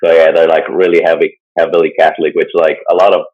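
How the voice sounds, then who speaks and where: A man with a very low, deep voice chats loosely about a topic he's presenting, leaning on "like" and "uh" and chuckling often. The recording is dull and lo-fi. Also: flat delivery, fast, a little mellow. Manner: rambling, full of "like" and "uh" fillers, chuckles often. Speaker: podcast co-host presenting a topic. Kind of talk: casual chat. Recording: dull lo-fi sound.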